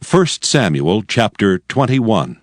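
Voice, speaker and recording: A man's voice: fairly low pitch, sing-song, slow, dry recording, clean studio sound. A man narrating scripture as a scripted, dramatized audio production. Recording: music clearly under the narration.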